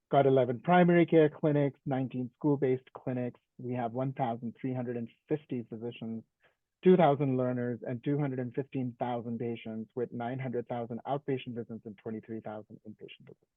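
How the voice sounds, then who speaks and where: A man speaking with a hoarse, sore-throated voice as he presents to a video call, easygoing and full of "um"s, stopping now and then to clear his throat. The audio is squeezed and phone-like. It is completely dry, with no room echo. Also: medium pitch. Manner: clears throat often, conversational with fillers. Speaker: guest speaker, physician.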